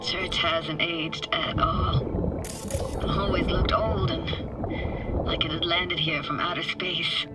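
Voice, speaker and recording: Wry young techie woman over loud music. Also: on the low side, fairly even intonation, slow, rough recording. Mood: reflective. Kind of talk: video game dialogue acting. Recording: loud music and watery game sounds behind.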